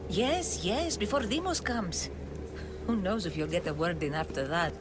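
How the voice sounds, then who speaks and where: A woman's voice: fairly low pitch, expressive, normal speed, lightly acted. An actress playing a flustered, impatient female cult member in a video game, fussy and exclamatory. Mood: hurried. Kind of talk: video game cutscene acting. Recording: loud music underneath. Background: game music.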